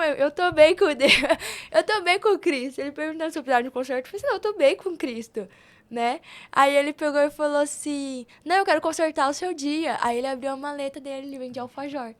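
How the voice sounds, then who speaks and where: High-pitched young Brazilian woman, chatting informally. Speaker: podcast guest. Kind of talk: casual podcast conversation, personal storytelling.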